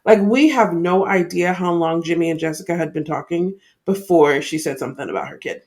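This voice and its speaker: A woman's voice: lowish voice, brisk, a little mellow. A woman co-hosting a podcast, giving her opinions in a casual, explanatory way and laying out her points one after another. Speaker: podcast co-host.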